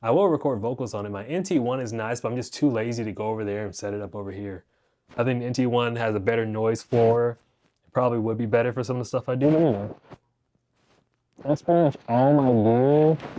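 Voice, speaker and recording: A man's voice: mid-range voice, fast, mellow. A young man giving a casual, rambling rundown of his music gear at home, speaking into a wireless clip-on mic. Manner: laid-back, rambling, slangy. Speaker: guitar teacher and channel host. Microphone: wireless clip-on mic into phone.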